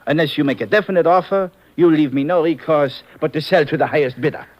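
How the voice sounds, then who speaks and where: An actor playing a sly, greedy broker with a German accent in a 1950s radio drama, suave, smug and formal. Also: male voice, fairly high pitch, fast, highs cut off, lightly acted. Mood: impatient.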